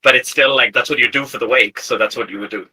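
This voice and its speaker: A man's voice: medium pitch, quick pace, bright, forceful tone, husky, poor audio. A man playing a tabletop role-playing game, chatting casually and thinking aloud as he improvises details in short, hesitant bursts. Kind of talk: casual improvised worldbuilding chat.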